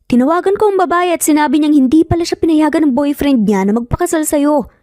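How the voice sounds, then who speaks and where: A woman's voice: sits high, animated, fast talker, dark tone, no echo, studio quality, voice pushed up. A woman with a Filipino accent voicing a nervous man who defends himself, shaky but emphatic, in an audio romance story. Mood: nervous, firm.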